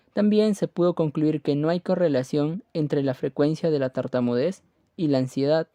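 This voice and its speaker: Higher-pitched man narrating in a mellow voice. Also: vocal fry, dry recording. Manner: formal, reading aloud. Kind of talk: scripted educational narration.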